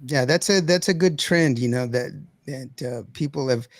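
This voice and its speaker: Raspy-voiced man, casual podcast host. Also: mid-range voice, normal speed, no room sound. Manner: rambling, repeats words and phrases. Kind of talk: casual chat.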